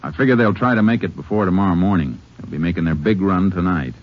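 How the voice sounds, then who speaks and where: An actor with an American accent playing a calm, methodical, laconic Texas Ranger in a 1950s radio western. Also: male voice, low voice, fast. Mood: businesslike. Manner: laconic, matter-of-fact. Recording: noisy, dull 1950s radio recording.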